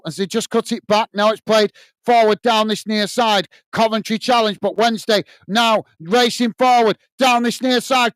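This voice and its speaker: A British man with a high, tiring, slightly hoarse voice calls the match in a steady running commentary for his fan channel. His voice is smooth and clear, recorded close and dry with no room sound. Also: no room sound. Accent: British English. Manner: steady running commentary, talks to viewers as guys. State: voice tired and going hoarse. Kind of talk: live watch-along play-by-play commentary.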